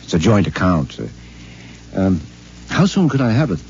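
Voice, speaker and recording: An actor playing a nervous, henpecked middle-aged husband who stammers and hesitates, in a 1950s radio drama. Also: male voice, low-pitched, very animated, normal speed, a little mellow, a little hiss or hum. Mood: anxious. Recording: dull old radio broadcast recording.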